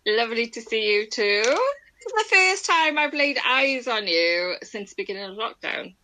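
Bright, slightly high-voiced woman co-host. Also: animated, normal speed. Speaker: podcast co-host. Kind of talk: casual chat.

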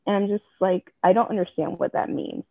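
A woman from Jamaica speaking as an interview guest, talking earnestly and frankly in quick asides over a remote call. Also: lowish voice, expressive, vocal fry, dry recording. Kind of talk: candid personal interview. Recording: tinny phone-like line.

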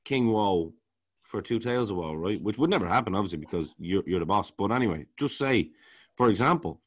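A man with a baritone voice, talking casually as a podcast co-host and rambling, often repeating words while he searches for them. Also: animated, a little fast. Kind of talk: casual music review chat.

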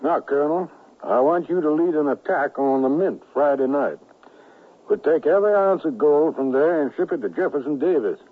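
A stern, suspicious ringleader in a western radio drama, issuing brisk orders. His voice is slightly husky, heard through a very narrow, phone-like old broadcast recording. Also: on the high side. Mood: commanding. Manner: brisk, authoritative, giving orders. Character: commanding, suspicious male leader of a Confederate gold conspiracy.

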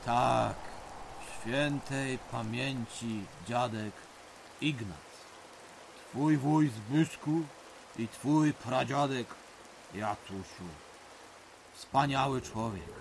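Slow-talking older village storyteller. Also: male voice, medium pitch, gravelly, a little hiss or hum. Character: older village uncle, folksy storyteller recalling ghost tales. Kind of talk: audio drama acting, reminiscing narration.

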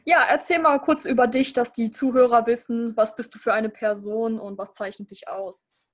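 A woman's voice: a little high, steady tone, normal speed, lo-fi sound. A woman hosting a travel podcast, asking friendly, upbeat interview questions. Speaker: podcast host.

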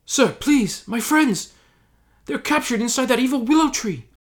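A man's voice: extremely high pitch, animated, measured pace, voice pushed up. A man voicing a panicky young male hobbit who squeals and pleads frantically in a comic audio story. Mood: pleading. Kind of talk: comic audio fan fiction.

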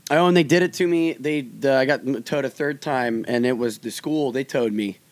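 A young man talks in loose, rambling anecdotes and curses freely. His pitch sits at an ordinary middle level. He keeps a casual, offhand tone throughout. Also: normal speed, no room sound. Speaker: podcast co-host. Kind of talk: casual chat.

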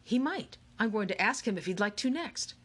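A woman's voice: medium pitch, lively intonation, normal speed, fairly bright tone, slightly rough, pitched above the performer's own voice. Woman reading as an eccentric game-master man, theatrical, playful, audiobook.

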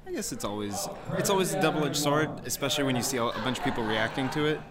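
A man with a medium-pitched voice chats casually in an interview as a sound editor. A very loud crowd surrounds him. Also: expressive, fast.

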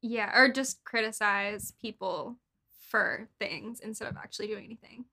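A young woman with an American accent, co-hosting a casual podcast in informal, halting phrases sprinkled with "like". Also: medium pitch, creaky, bright, forceful tone. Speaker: podcast co-host. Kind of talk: casual political podcast chat.

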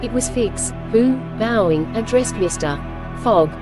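A woman reading scripted storybook narration as host, with measured, clear phrasing. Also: fairly high pitch, expressive, music in the background, rough recording.

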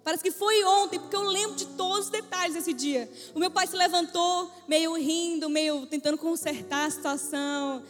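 A very high-pitched woman preaching in a big reverberant hall, faint music under her words. She tells her story playfully and acts out every voice she quotes, like a witty preacher addressing her congregation. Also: fairly even intonation, moderate pace, hardly any pauses. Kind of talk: humorous testimony sermon.

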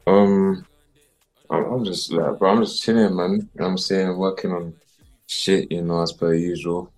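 A man from South London with a South London accent, a guest musician talking casually in a mellow, slang-filled way. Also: low-pitched, fairly even intonation, moderate pace, rough recording. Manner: mellow, mumbly, full of street slang. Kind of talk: casual interview chat.

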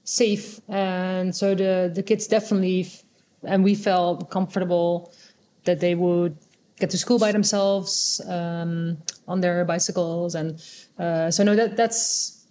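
A woman's voice: lowish voice, level tone, a little slow, dark tone, a little hiss or hum. A middle-aged Dutch woman with a Dutch accent, speaking thoughtfully as an interview guest, often restarting her sentences. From Netherlands. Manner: thoughtful, with frequent restarts and fillers. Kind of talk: casual interview conversation.

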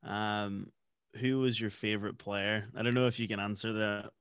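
A man's voice: fairly low pitch, steady tone, moderate pace, clear, resonant tone, dry recording, clean studio sound. A man hosting a podcast who asks long, rambling questions and murmurs in agreement. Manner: soft back-channel murmurs, rambling questions. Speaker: podcast host. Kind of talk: podcast interview.

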